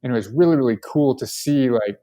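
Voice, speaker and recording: A man's voice: medium pitch, fairly quick, dark tone. A man from Colorado, the guest co-founder, answering questions casually and with enthusiasm, with lots of filler words. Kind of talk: casual podcast interview.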